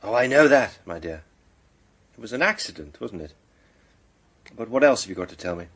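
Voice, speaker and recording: A man's voice: on the low side, expressive, moderate pace, a bit bright. An actor playing a self-assured, stern man laying out a crime, theatrical and accusatory in a radio drama style. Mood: knowing, controlled.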